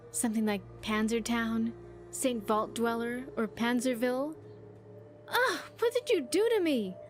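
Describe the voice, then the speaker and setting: A woman's voice: fairly high pitch, a little hiss or hum. A woman voicing an earnest, grateful young survivor in a video game, chatty and sincere with slightly stilted phrasing. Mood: playful, wistful. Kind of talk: video game character dialogue.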